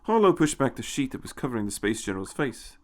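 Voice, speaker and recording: A man's voice: medium pitch, sing-song, fast talker. A man reading a scripted story as a narrator, in a dramatic storytelling style. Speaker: audiobook narrator.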